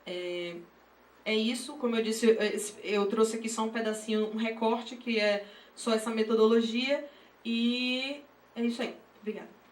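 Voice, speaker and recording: A Brazilian woman giving an unscripted academic presentation, halting and repeating words as she goes. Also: on the high side, steady tone. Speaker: researcher presenting. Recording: echoey room, distant mic, faint noise.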